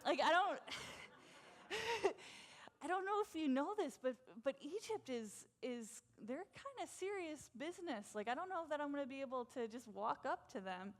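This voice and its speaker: A woman's voice: high-pitched, lively intonation, normal speed, pitched above the performer's own voice. A woman playing an anxious, stammering Moses. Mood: incredulous. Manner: hesitant, stammering, full of fillers and restarts. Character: anxious, doubtful male prophet, pleading.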